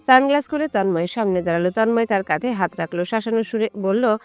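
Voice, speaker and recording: A woman's voice: medium pitch, fast, darkish tone, clear, resonant tone. A woman reading a scripted romantic story as its narrator.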